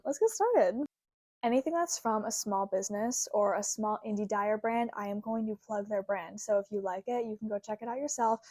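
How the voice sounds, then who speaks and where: Young woman, 20s, chatty crafter, mid-pitched and bubbly, slightly worn voice from a cold, close dry sound. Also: a little mellow, dry recording. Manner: bubbly and rambling, heavy use of "like". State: getting over a cold, recovering from a sore throat. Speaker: crochet content creator. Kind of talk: casual chatty vlog. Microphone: small clip-on mic.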